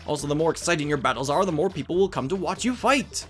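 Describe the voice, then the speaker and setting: A man's voice: on the high side, lively intonation, fast talker, a bit bright. A man voicing an earnest old professor creature from a video game, teaching battle moves in an instructive, courteous way. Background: game music.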